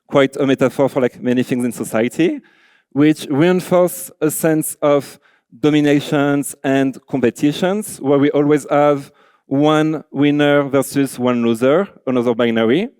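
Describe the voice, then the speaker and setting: A man's voice: fairly high pitch, steady tone, measured pace, darkish tone, clean tone, no echo. French-accented man giving an earnest talk. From France. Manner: earnest, many fillers like "like" and "you know". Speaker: conference speaker, designer. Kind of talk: conference talk. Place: large auditorium.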